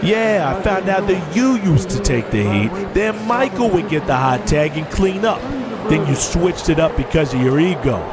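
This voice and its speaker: An actor playing a resentful, hot-headed pro wrestler in an audio drama, blunt and confrontational. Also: male voice, on the high side, animated, moderate pace, over a noisy crowd, natural voice. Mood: resentful, defiant.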